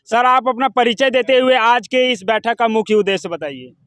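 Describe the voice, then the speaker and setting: A man's voice: very high-pitched, normal speed, fairly bright tone, clear, resonant tone, dry recording, studio quality. A man, reporting and interviewing on location as a field news reporter. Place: outdoor public ground.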